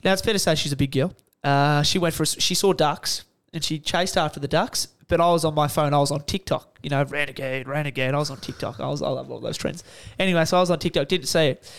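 A man's voice: fairly high pitch, quick pace, vocal fry, few pauses, fairly bright tone. An Australian man telling casual anecdotes on a podcast in a rambling, self-interrupting way. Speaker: podcast panellist.

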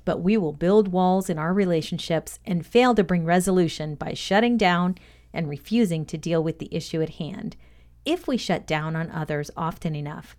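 A woman with a fairly low voice reads a scripted self-improvement lesson. She works as a podcast co-host, delivering written points about leadership. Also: darkish tone.

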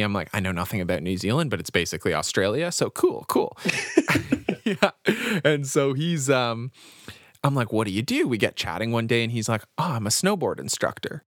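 A man's voice: medium pitch, dry recording, clean studio sound. A young Canadian man co-hosting a podcast, telling a rambling story in casual chat and laughing often. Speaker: podcast co-host.

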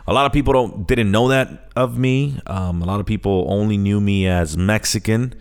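Middle-aged American male podcast host, slightly deep voice, conversational and bilingual, easygoing solo introduction. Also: moderate pace, clear, resonant tone. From Chicago, United States. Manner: conversational, switches between English and Spanish.

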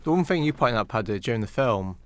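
A man with a smooth, clear voice of medium pitch chats on a podcast. He speaks casually as a co-host among others. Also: expressive, fast. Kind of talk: casual chat.

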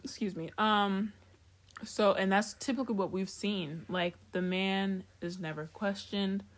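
A woman's voice: mid-range voice, steady tone, a little slow. A woman from Africa co-hosting a podcast, chatting candidly, laughing often and drifting off topic. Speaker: podcast co-host. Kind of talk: casual chat.

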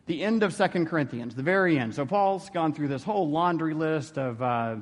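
A man with a somewhat high voice giving a relaxed theology lecture. He talks conversationally and keeps tossing rhetorical questions at his listeners. His voice rings out with a long hall reverb. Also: moderate pace. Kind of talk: informal classroom lecture.

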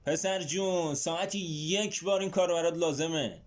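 A woman's voice: fairly low pitch, natural voice. A woman voicing a cruel young policeman in an audiobook, taunting and condescending, with no give at all. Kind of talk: acted audiobook dialogue.

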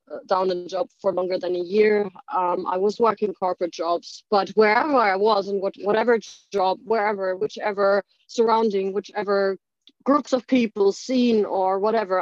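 Halting woman. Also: medium pitch, a little mellow, no echo. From Germany. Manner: halting, full of "like" and restarts. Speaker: speaker sharing her life story. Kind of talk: candid personal storytelling. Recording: dull lo-fi dry recording.

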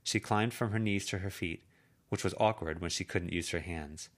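Low-voiced male audiobook narrator. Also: moderate pace. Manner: calm, even storytelling.

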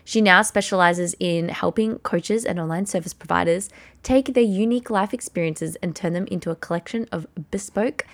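A woman hosting a podcast interview in an upbeat, enthusiastic way. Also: on the low side. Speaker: podcast host.

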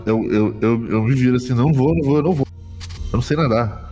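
A man's voice: medium pitch, fast, mellow, faint music behind. A man with a Brazilian Portuguese accent, playing a tabletop game in a sarcastic, joking way. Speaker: player.

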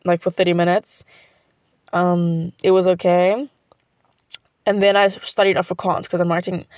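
A woman's voice: on the low side, a little mellow, no room sound. Chatty South African teen girl. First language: Xhosa. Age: teens. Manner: chatty, rambling, lots of "like". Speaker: student vlogger. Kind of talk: casual vlog chat.

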